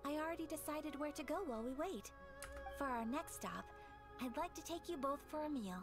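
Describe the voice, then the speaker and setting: A gracious, reserved young lady of a noble clan in a video game. Her voice is high and lively in pitch, with formal, courteous phrasing. Music is clearly heard behind her. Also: brisk.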